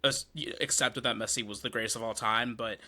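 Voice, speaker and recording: A man giving his take on a football podcast, thinking each answer through with frequent pauses. His voice is strikingly bright and tense at the front. He sits at a middle pitch. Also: steady tone. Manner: measured, thoughtful, frequent ums. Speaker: podcast guest. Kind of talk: casual football discussion.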